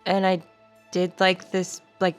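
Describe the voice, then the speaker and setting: A woman's voice: lowish voice, monotone, takes it slowly, smooth. Casual young-sounding woman over background music. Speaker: tabletop roleplay player. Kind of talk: casual in-character improvised chat.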